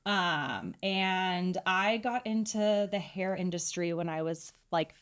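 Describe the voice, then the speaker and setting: A middle-aged woman from California recounts her path into hairdressing, her voice sitting a little lower than most. She talks in an easygoing, rambling way, with plenty of "um"s and soft giggles. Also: takes it slowly. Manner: relaxed, chatty, many fillers and giggles. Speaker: hairdresser guest. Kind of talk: casual interview storytelling.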